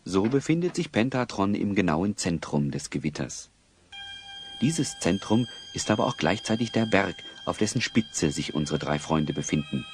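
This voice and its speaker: A man narrating a children's audio drama as a storyteller, wry and expressive. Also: low-pitched, moderate pace, a little hiss or hum. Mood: suspenseful, wry.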